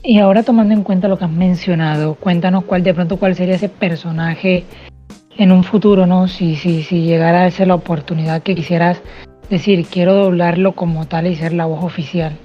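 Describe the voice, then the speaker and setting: A woman's voice: lowish voice, fairly even intonation, a little fast, lo-fi sound, soft background music. A woman hosting an interview podcast in a conversational way, asking questions and responding to her guest. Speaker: interview host.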